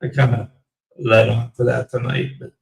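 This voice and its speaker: A man closing out his live stream in a rambling, halting way, his voice going raspy. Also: medium pitch, level tone, reverberant, far from the mic. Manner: rambling, slow and halting with many fillers. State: voice getting raspy. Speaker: livestream host. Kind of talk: casual live stream sign-off.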